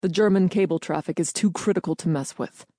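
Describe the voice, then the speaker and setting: A woman's voice: fairly low pitch, fairly quick, dark tone, no room sound, clean studio sound, lightly acted. A woman voicing a stern, commanding older male general with a curt, withering manner in an audiobook. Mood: stern, hard.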